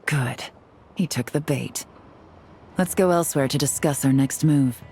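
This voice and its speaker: A woman playing a cool, shrewd operative in an anime game, measured, decisive and matter-of-fact. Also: sits low, darkish tone. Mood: cool, firm. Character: cool, shrewd female operative, composed negotiator.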